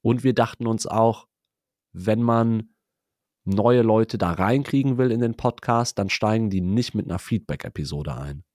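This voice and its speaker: Male podcast co-host with a head cold, lowish voice, close dry mic, loose reflective chat. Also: measured pace, darkish tone, no room sound. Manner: reflective, talks in loose run-on sentences. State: has a cold.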